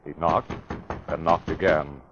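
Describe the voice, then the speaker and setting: A man's voice: rumbling low, fairly even intonation, measured pace, fairly bright tone, a little hiss or hum. A man narrating a classic radio mystery drama in a scripted, dramatic style. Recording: dull vintage radio broadcast recording.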